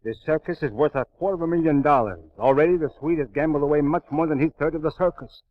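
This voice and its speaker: An actor playing a proud, anxious circus owner with courteous, formal phrasing, in a 1940s radio drama. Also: male voice, a little high, quick pace, no room sound, close to an everyday voice. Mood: worried. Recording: dull, band-limited 1940s radio recording.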